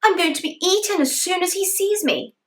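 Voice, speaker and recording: A woman voicing a small, clever young boy in a storybook tale, with a high, pleading voice. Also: a little fast, fairly bright tone, reverberant, distant mic.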